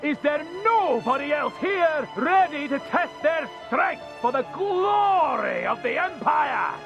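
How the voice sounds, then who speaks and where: A man's voice: very high-pitched, sing-song, takes it slowly, vocal fry, exaggerated voice. An actor playing a pompous, theatrical army recruiter, declaiming like a showman in a video game. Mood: goading. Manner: bombastic, declaiming, showy. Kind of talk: video game cutscene acting, public oration. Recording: music behind the voice.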